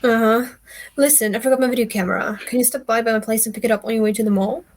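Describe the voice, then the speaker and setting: A woman reading the part of a brisk, nagging older male scientist at an amateur table read. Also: on the high side, fairly even intonation, natural voice.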